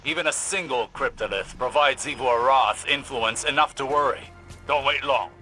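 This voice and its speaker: Music plays clearly behind a man acting a vigilant warrior scholar in a video game. His mid-range voice is solemn and formal, with a dramatic weight. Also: mid-range voice, normal speed, pushed and bright, natural voice, rough recording. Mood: grave. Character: grave, vigilant male scholar-warrior mentor.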